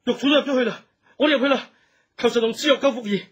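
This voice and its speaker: A man's voice: very high voice, racing, breathy edge. A man playing a devoted, impassioned young thief in a radio drama, speaking fervently and humbly with open emotion. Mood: urgent. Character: devoted, impassioned young male thief, pleading for his beloved. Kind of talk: Cantonese radio drama acting.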